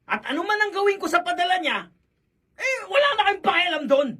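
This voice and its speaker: Volatile drunkard husband, very high tense voice, defiant, vengeful, animated radio drama delivery. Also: male voice, a little fast, distant mic, slight background noise, exaggerated voice. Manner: shouting, forceful.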